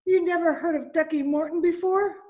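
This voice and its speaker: A jittery, streetwise gangster's girlfriend, played by a woman in a radio crime play. She speaks high, in slangy, pleading lines, recorded from home over a video call. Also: fairly even intonation, brisk, dark tone. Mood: confessing. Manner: breathless pleading, slangy "gee" and "ya". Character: frightened young gangster's moll, pleading and streetwise. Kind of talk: old-time radio play acting.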